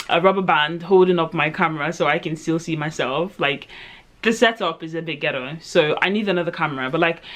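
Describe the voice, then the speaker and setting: A woman in her late twenties talking to her camera while doing her makeup, chatty and reflective, rambling with lots of "um" and "like", with sudden excited jumps in pitch. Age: late 20s. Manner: chatty, rambling, heavy use of fillers like "um" and "like". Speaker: vlogger. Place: at home in front of her own camera.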